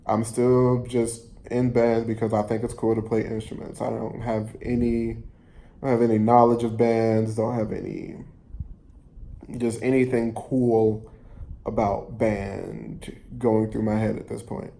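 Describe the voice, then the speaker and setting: A man tells a personal story in a casual, conversational way. His voice is relaxed and mellow and his delivery is unhurried. He rambles a little, stopping to rephrase and repeat himself as he goes. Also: on the low side, gravelly. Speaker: storyteller vlogger.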